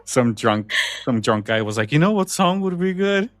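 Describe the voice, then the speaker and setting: A man's voice: a little high, very animated, normal speed, dry recording. A man co-hosting a podcast in casual, conversational chat. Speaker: podcast co-host.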